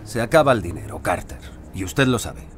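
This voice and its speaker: An actor playing an older, authoritative lord and patron in an audio drama, grave, formal and resigned. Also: male voice, fairly low pitch, big pitch swings, brisk, faint music behind. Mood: firm.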